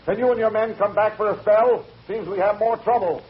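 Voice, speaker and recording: An actor playing a folksy ranch man calling out loudly in a vintage radio drama. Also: high voice, steady tone, a little fast, lightly acted. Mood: urgent. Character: man hollering for the sheriff. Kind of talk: 1940s-50s radio drama acting. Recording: muffled vintage radio, crowd noise behind.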